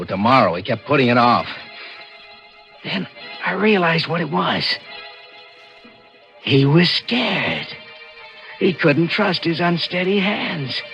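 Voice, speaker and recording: An actor playing a ruthless, impatient killer who narrates his own crime in 1940s radio style. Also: medium pitch. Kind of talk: 1940s radio acting, first-person narration. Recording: muffled vintage 1940s radio broadcast.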